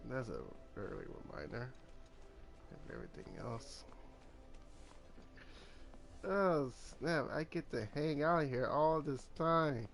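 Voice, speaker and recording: A man live streaming a game with casual, informal commentary, muttering to himself between louder reactions. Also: fairly high pitch, big pitch swings, takes it slowly, pauses often, faint music behind.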